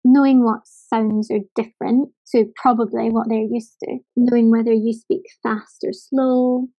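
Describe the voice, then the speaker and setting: A woman's voice: on the high side, mellow, low-quality recording. Woman with a light Scottish accent. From Scotland. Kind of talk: casual conversation.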